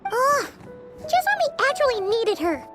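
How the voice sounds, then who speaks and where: Very high childlike voice, cheeky, chirpy, lively swings, unhurried, game character over music. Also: female voice, exaggerated voice. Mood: cheeky, complaining. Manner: chirpy, outspoken. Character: small childlike companion, cheeky, chatty, excitable. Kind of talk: video game character acting.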